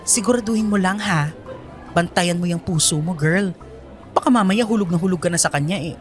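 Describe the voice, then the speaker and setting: A woman's voice: on the low side, a little fast, soft background music, close to an everyday voice. A young woman with a Filipino accent acting a nosy, teasing, complaining college best friend in a radio drama, full of exclamations. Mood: teasing, suspicious.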